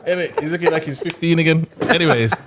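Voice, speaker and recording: A man's voice: a little high, fast talker, noisy background. A man hosting a casual podcast, teasing and laughing in slangy banter. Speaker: podcast host.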